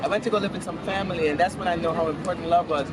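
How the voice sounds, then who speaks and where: A man's voice: a little high, steady tone, very fast. A man from Guyana answering a street interview, speaking earnestly and personally with emphatic repetition, outdoors on a city street. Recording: noisy outdoor street with traffic.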